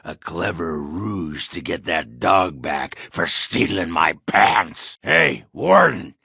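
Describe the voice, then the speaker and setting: A man playing a crude, boozy, belligerent dwarf warrior in a video game, with a raspy, guttural voice and a coarse, blustering, swaggering manner. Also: low voice, very animated, slow, bright, forceful tone, dry recording, clean studio sound, exaggerated voice. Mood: suspicious, scheming, then drunken amusement. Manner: coarse, blustering, swearing, slurred drunken swagger. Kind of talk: video game character acting.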